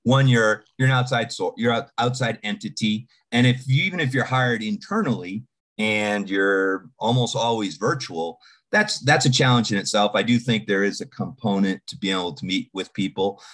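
Very low-voiced man in his 40s from Boston, halting podcast guest who often restarts his sentences. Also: a bit bright. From Boston, United States. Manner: halting, restarts and repeats words. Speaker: podcast guest, security executive. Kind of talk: casual interview talk.

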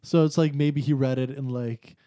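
A man's voice: medium pitch, steady tone, normal speed, dark tone, no room sound. A man co-hosting a casual conversation, putting in questions and wry, wordy remarks with dry humour. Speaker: podcast co-host. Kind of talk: casual chat, interjecting and questioning.

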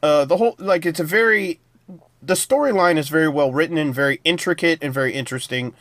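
Casual podcast co-host, a man with a slightly higher voice, dry close mic, loose rambling delivery. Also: fast talker, a bit bright, dry recording. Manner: rambling, loose plot summaries with many fillers. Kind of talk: casual TV review chat.

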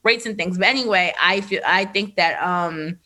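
Bright, animated woman in her thirties. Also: fairly low pitch, moderate pace. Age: 30s. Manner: animated, filler-heavy, laughs often. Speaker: podcast host. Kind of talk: candid conversational storytelling. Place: Los Angeles area.

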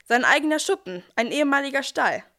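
A woman plays a down-to-earth angel narrating a nativity audio play. The voice sits fairly high and talks straight to the listener in a chatty way, as a busy helper would. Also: animated, a little fast, bright, forceful tone, heavily acted. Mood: matter-of-fact, pleased. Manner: chatty, addresses the listeners directly. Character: archangel Gabriel, busy, down-to-earth helper and storyteller. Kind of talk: scripted nativity radio play monologue.

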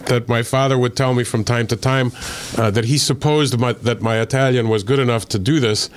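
A man from Connecticut, an author being interviewed, speaking in a measured, thoughtful way with frequent filler pauses. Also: medium pitch, steady tone, smooth. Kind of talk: relaxed interview conversation.

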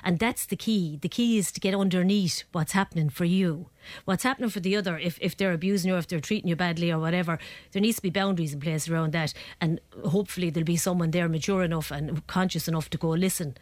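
A woman's voice: on the low side, fast, darkish tone, dry recording. A woman guesting as a relationship psychotherapist in a radio studio, talking through ideas earnestly with a slight head cold and lots of "you know" and "right?". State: getting over a head cold.

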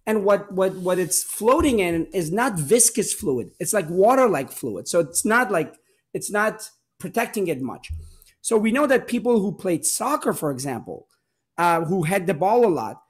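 A man's voice: high-pitched, sing-song, moderate pace, husky. A man, a physician guest in a podcast interview, explaining earnestly and repeating words for emphasis. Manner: earnest, explanatory, with restarts and repetition.

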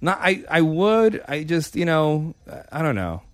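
A man in his thirties hosting a podcast, talking in loose crude banter with halting, stammering restarts. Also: a little high, big pitch swings, no room sound. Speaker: podcast host.

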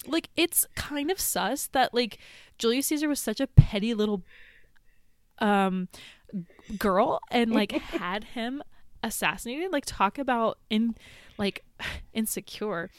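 A woman's voice: a little high, lively intonation, takes it slowly, no echo, studio quality. A woman leaving a casual voice message, gushing and rambling in a slangy way. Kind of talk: casual spoken birthday voice message.

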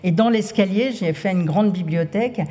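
A woman's voice: medium pitch, moderate pace, warm tone, in a large hall. A woman writer speaking as a guest at a literary talk, hesitant, with many fillers. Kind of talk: casual conversational interview.

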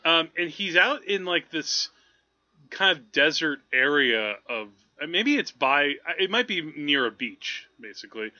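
A man with a very bright, sharp and slightly high voice talks through films in casual podcast style. He rambles and halts, working out his thoughts as he goes. The audio is a little dull and lo-fi. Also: very animated. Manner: rambling, halting, thinking aloud. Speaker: film podcast host. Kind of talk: casual movie discussion.

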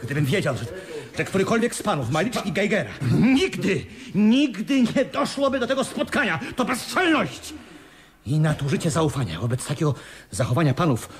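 An outraged mine boss in a radio drama, pompous and explosive. His voice climbs high and lurches up and down as he blusters. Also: sing-song, normal speed. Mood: outraged, haughty. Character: furious, blustering male mine owner. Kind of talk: Polish radio play acting.

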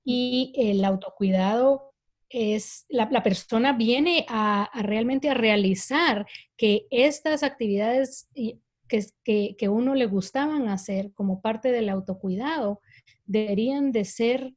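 Woman, Guatemalan accent, unhurried, measured, pauses to think. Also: fairly high pitch. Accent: Guatemalan Spanish. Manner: slow and thoughtful, with frequent hesitations. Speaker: guest speaker on parenting and self-care. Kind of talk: casual discussion.

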